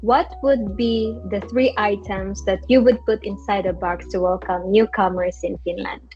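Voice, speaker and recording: A woman's voice: mid-range voice, fairly even intonation, normal speed, a little mellow, breathy edge, dull audio, faint music behind. A woman hosting a podcast, cheerful and encouraging, often answering with a short "yes" or "mm-hmm". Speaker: podcast host. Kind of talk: casual interview chat.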